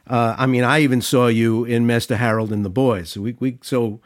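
A man of around sixty interviewing conversationally, building his questions gradually with halting, thoughtful phrasing. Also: mid-range voice, steady tone, no room sound, studio quality. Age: middle-aged or older, around 60.

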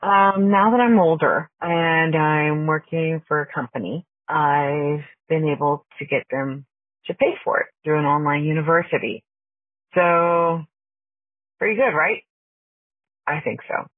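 A woman's voice: deep voice, measured pace, no echo. A woman from Texas giving a reflective, earnest answer in a recorded listener message. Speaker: listener caller. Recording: tinny phone line.

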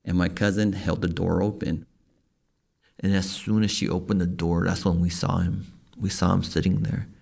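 Male storyteller, extremely creaky, monotone, halting first-person recollection. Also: low-pitched.

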